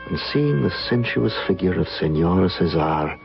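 An actor playing an ardent, insistent lover in an old-time radio drama, speaking in an earnest, florid, impassioned way. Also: male voice, low-pitched, lively intonation, normal speed, creaky. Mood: brooding recollection. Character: ardent, insistent male lover recalling a haunted romance. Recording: muffled lo-fi old radio, music behind.

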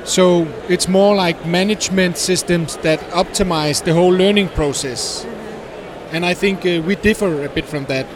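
A man describing his startup in a conference interview, hesitant with many pauses and non-native phrasing. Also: high voice. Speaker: guest, startup founder. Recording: noisy conference floor.

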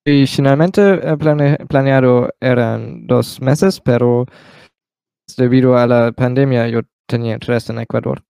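A seventeen-year-old boy from Germany speaking Spanish as a learner, pausing often with fillers as an interview guest. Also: mid-range voice, steady tone, creaky, dark tone, clear, resonant tone, dry recording. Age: teens. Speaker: exchange student guest. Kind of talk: casual interview.

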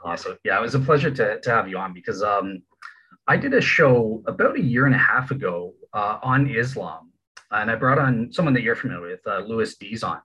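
A man hosting a podcast, introducing his guest in a relaxed, informal way with frequent fillers. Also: lowish voice, brisk, husky, dull audio. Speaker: podcast host. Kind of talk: casual interview intro.

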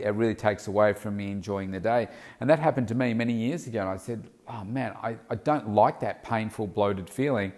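Man, fitness coach, slightly low voice, snuffly nose, casual instructive talk, lots of ums. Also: a little mellow. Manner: conversational, instructive, talks in steps with frequent ums. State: snuffly from hay fever. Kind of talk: casual advice monologue.

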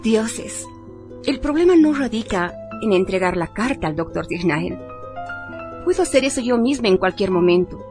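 A woman's voice: mid-range voice, animated, moderate pace, music in the background, natural voice. A woman playing a proud, intense noblewoman in a radio drama, with solemn declamatory delivery. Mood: worried, resolute. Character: proud, intense noblewoman of ancient pure bloodline.

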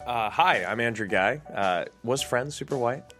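A man's voice: mid-range voice, animated, normal speed. A man chatting casually and jokingly as an actor on a panel. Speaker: actor on a table-read panel.

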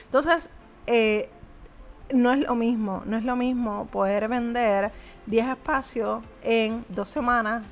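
A woman with a somewhat high voice talks straight to the viewer in a motivational vlog. She sounds earnest and conversational, like a small business owner sharing advice, pausing often on little hesitant fillers. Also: steady tone.

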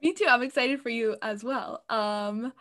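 A young woman, a theater student, co-hosting a podcast, telling stories in an animated way and laughing often. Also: a little high, a little slow. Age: young adult. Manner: animated storyteller, giggly. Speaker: podcast co-host. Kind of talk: casual chat.

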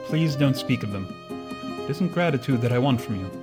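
An actor playing an ardent, tender and courtly gentleman suitor in a formal 1940s radio romance style. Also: mid-range voice, animated, a little fast, close to an everyday voice. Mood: courteous, hopeful. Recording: string music under the voice.

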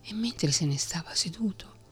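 A woman whispering a fairy tale in a soft ASMR reading. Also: fairly low pitch, lively intonation, normal speed, faint music behind. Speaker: storyteller.

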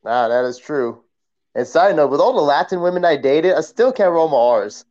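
A man's voice: fairly high pitch, animated, moderate pace, darkish tone, muffled recording. A man co-hosting a wrestling podcast, chatting about sport in a casual, slangy way.

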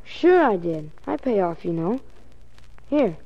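A woman's voice: medium pitch, big pitch swings, takes it slowly, exaggerated voice. A woman playing an eager, polite young boy in a 1940s radio drama, full of earnest gee-whiz enthusiasm. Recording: muffled lo-fi 1940s radio recording.

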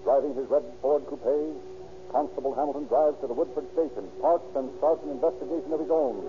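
A man's voice: medium pitch, fairly even intonation, fairly quick. A man delivering dramatic scripted narration in the manner of 1930s radio. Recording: tinny, very hissy old radio recording.

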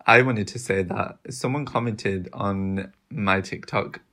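Young woman with a very low voice. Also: slow pace, fairly bright tone. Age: young adult. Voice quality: low, soothing voice. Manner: chatty, rambling, searches for words. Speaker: podcast co-host. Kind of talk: casual true-crime podcast chat.

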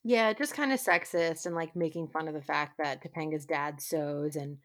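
A woman's voice: deep voice, normal speed, no echo. Dry-sounding woman, chatty podcast co-host. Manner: wry, chatty, many "like" fillers. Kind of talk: casual recap chat.

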